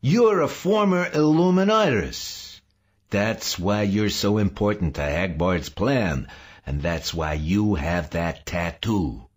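A man's voice: sits low, slow pace, husky, pitched below the performer's own voice, exaggerated voice. Man acting out a hysterical, anguished old man. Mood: excited. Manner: shrieking, sobbing, ranting. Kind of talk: audiobook character acting.

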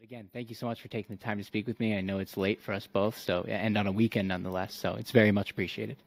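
A man's voice: on the low side, fairly even intonation, quick pace, gravelly, no room sound. A young man hosting a podcast, reading his intro and asking questions in a polite, self-deprecating, slightly hesitant way. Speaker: podcast host. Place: home room. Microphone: studio mic on a boom arm.

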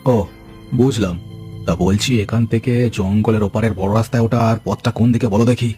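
A man voicing a gruff, bossy thief in a cartoon ghost story, with a brusque, commanding manner. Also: on the low side, fairly even intonation, normal speed, dark tone, faint music behind, natural voice. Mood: weary, casual. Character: gruff, bossy male thief, the leader of a pair. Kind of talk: cartoon horror story acting.

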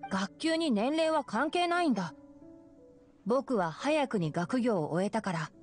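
A young male scholar from an anime game. The boyish voice sits slightly high, calm and explanatory, with soft music behind it. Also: a little slow, husky. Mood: composed, reflective. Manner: composed, explanatory.

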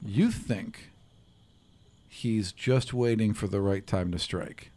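A man acting as game master, improvising narration at a table into a close mic, often halting and repeating words. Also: lowish voice, dark tone. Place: room, group around a table. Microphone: table microphone on arm, close.

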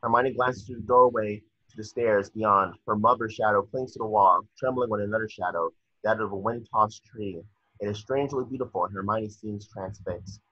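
A man's voice: fairly low pitch, moderate pace, pushed and bright, breathy edge, no room sound. A young man reading screenplay stage directions as scripted narration, on dull video-call audio. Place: indoor room at home, on a video call.

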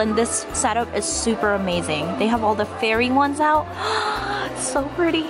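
A young woman with a slightly high, hoarse voice narrates a handheld toy-store vlog. She gasps and gushes over each find. Music plays clearly underneath her voice. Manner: excitable, gasping, gushing over finds. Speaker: toy vlogger. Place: toy aisles inside a store.

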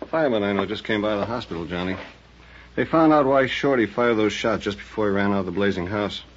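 An actor playing a loyal, plain-spoken police sidekick in an old radio drama. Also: male voice, fairly low pitch, quick pace, close to an everyday voice. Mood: matter-of-fact. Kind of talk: 1940s radio acting. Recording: dull lo-fi old radio recording.

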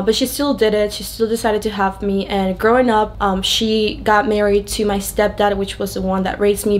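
A young woman from Venezuela, a native Spanish speaker with a Venezuelan accent, giving a candid, conversational personal testimony to camera. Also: mid-range voice. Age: young adult. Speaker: video creator sharing a testimony.

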